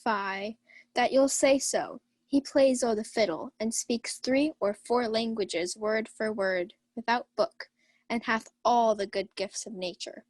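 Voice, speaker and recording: A carousing knight and uncle in a radio Shakespeare play, acted by a woman. The voice is rather high and recorded dry. The delivery is blustering and defiant, all tavern bravado. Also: measured pace, dry recording. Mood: boastful. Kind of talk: student Shakespeare radio acting.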